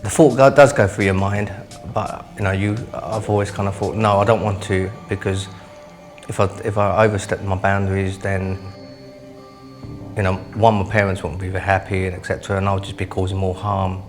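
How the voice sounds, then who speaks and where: Hesitant flat-voiced man. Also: deep voice, normal speed, soft background music. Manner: hesitant, broken phrasing. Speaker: interviewee, detained patient. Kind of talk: unscripted interview testimony.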